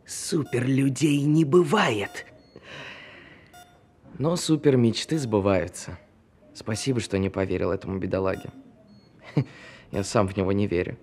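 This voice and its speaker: A man's voice: fairly low pitch, measured pace, long pauses, a little mellow, natural voice. A man acting in an audio drama as a proud, touchy costumed performer who talks in a defensive, sardonic way. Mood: wry, resigned.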